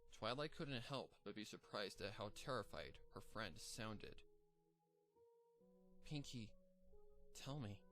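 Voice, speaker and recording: A man reading a fanfic aloud in steady, even-toned narration. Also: fairly low pitch, unhurried, hardly any pauses, faint music behind. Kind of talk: storybook reading.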